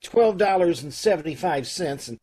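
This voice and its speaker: A man's voice: on the high side, animated, normal speed, dark tone, slightly rough. A man playing a flustered loan officer on a video call. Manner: exasperated, repeats himself, stammers and laughs. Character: flustered middle-aged male loan officer. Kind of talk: old-time radio comedy acting. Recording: distant, uneven video call audio.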